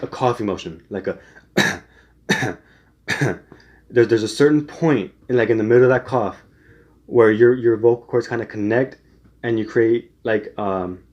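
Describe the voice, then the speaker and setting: A man's voice: fairly low pitch, a little mellow, muffled recording. A young man giving casual singing tips, rambling with many restarts and fillers. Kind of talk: casual spoken tutorial.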